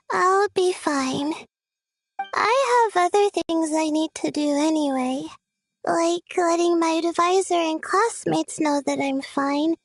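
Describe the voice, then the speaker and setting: A woman's voice: extremely high pitch, dry recording, full character voice. A woman voicing a timid, anxious young female student in a video game, speaking hesitantly and apologetically. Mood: flustered, reassuring. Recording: music playing behind the voice.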